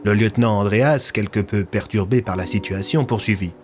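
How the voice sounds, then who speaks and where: A man's voice: lowish voice, normal speed, a little mellow. Male storyteller with music underneath. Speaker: narrator. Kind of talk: scripted audio drama narration.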